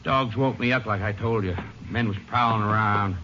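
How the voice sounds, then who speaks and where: An actor playing a folksy, flustered rural rancher in a 1950s radio drama, heard through a hissy lo-fi old recording. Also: male voice, lowish voice, fairly even intonation, normal speed, bright, forceful tone. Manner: folksy drawl, rambling.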